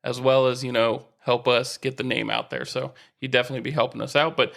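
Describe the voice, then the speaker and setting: A man's voice: medium pitch, level tone, fast, vocal fry, fairly bright tone. A man co-hosting a sports podcast, chatting casually in an informal, opinionated way.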